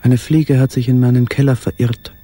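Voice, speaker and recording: A solitary man in hiding played by an actor speaks a literary radio monologue in a dark, husky voice. His delivery is monotone and introspective, subdued and quietly obsessive. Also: medium pitch, moderate pace, no echo, lightly acted. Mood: subdued, absorbed. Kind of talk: radio play monologue, literary reading of a letter.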